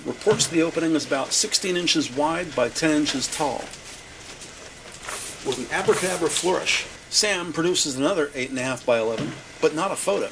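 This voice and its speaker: A man reading scripted, deliberate and detailed narration for an audio-described police drama. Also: on the high side, vocal fry, fairly bright tone, slight background noise, rough recording.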